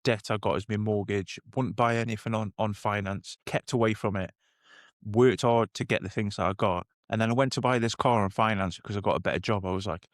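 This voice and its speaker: A man in his late thirties from the north of England talks casually as a podcast co-host. His voice sits a little low. He feels his way through sentences, repeating words, in a dry recording with little room sound. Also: fairly even intonation, brisk, dry recording. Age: late 30s. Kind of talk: casual chat.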